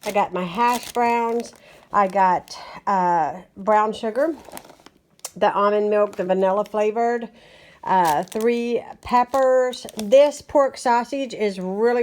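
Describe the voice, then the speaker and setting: A woman's voice: medium pitch, measured pace, a little mellow. A woman in her forties from West Virginia with an Appalachian accent, chatting casually to a phone camera at home in her morning froggy voice. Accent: Appalachian American. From West Virginia, USA. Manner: chatty, homey, rambling with fillers. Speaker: home vlogger. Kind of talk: casual chat to camera, grocery haul. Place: small bedroom indoors. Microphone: phone at arm's length.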